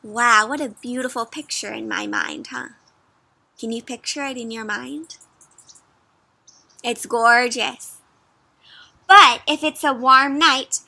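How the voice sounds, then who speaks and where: A woman in her twenties doing an interactive storybook read-aloud for young children, animated and sing-song, often asking questions. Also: high-pitched. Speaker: children's storybook reader and host. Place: outdoors in a leafy yard.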